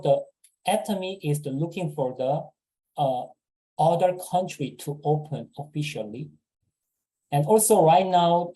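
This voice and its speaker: A man giving a sales seminar talk, halting and repeating words with many fillers. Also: on the high side, dark tone, low-quality recording. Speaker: business presenter.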